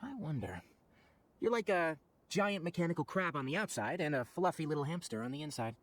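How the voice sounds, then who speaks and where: A man playing a knowledgeable young forest ranger in a video game, articulate, brisk and wry as he explains things. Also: on the high side, animated, natural voice. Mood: wry, curious. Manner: articulate, explanatory, matter-of-fact. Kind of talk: video game character acting.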